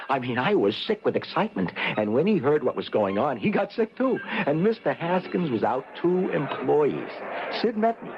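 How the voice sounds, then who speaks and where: An actor playing an excitable, nervous working man who gambles, in an old-time radio comedy drama with an agitated, emphatic delivery. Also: medium pitch. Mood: excited. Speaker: narrator and lead actor in radio play. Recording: noisy, muffled old radio broadcast recording.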